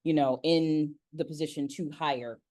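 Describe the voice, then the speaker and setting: An American woman with a low-pitched voice hosts a conversational interview. She speaks with emphasis and restates key phrases to drive them home. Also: fairly even intonation, unhurried, a little mellow. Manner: emphatic, repeats phrases for effect. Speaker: interviewer and voice-acting community leader.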